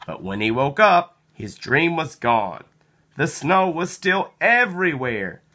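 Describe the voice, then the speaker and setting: An expressive reader tells a children's picture book aloud. The delivery is unhurried and theatrical, with a lively rise and fall in pitch. The tone is bright and clear-cut, at a middle pitch. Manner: animated, slow, dramatic delivery with acted sound words. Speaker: storybook reader.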